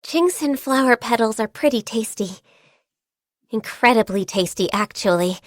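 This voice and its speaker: An actress playing a gentle, diligent, shy and youthful half-beast secretary in a video game, speaking politely and earnestly. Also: female voice, on the high side, expressive, normal speed, dry recording, clean studio sound, natural voice. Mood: soft, serene. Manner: polite, earnest, hesitant when flustered. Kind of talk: video game character voice lines.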